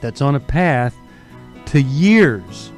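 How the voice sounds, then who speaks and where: Middle-aged male host, earnest and emphatic, pauses for effect; light music bed. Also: medium pitch, sing-song. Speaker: radio show host. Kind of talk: call-in radio talk.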